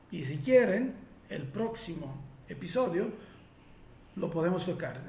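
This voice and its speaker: Man, Italian accent, somewhat high voice, thoughtful, many pauses and fillers, echoey room, faint hiss. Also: darkish tone. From Italy. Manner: reflective, pauses often with fillers and restarts. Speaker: podcast host answering listener questions. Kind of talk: casual spoken answer to listener questions.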